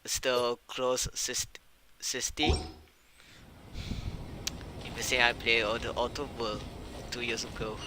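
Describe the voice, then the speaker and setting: A male game streamer speaking halting non-native English in casual live commentary over a windy, uncovered microphone. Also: medium pitch, little pitch movement, pushed and bright, rough recording. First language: not English. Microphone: microphone without its cover.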